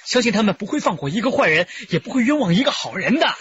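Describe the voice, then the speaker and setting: Emphatic young-son role, very high, animated, earnest, radio drama, dull sound. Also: male voice, quick pace. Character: earnest, admonishing grown son. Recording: muffled, faint background noise.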